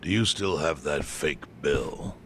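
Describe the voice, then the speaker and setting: An actor playing a calm, knowing male informant who explains things in a measured way. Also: extremely low pitch, a little hiss or hum, heavily acted. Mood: grave.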